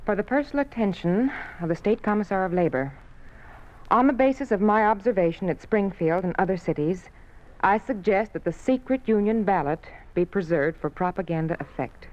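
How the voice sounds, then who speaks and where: A woman's voice: mid-range voice, a little fast, darkish tone, natural voice. Woman playing an obedient secretary, muffled. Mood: dutiful, formal. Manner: formal, precise read-back. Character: obedient, efficient female secretary reading back dictation. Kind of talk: 1950s radio drama acting. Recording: muffled 1950s radio recording.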